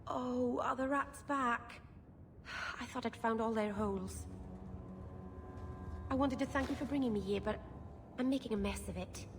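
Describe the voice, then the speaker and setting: An actress playing an awkward, earnest, bookish young elf mage in a video game. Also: female voice, a little high, normal speed, pauses often, lightly acted. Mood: flustered, eager. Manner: earnest, self-deprecating, socially awkward. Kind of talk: video game dialogue and storytelling. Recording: reverberant room, clear background noise.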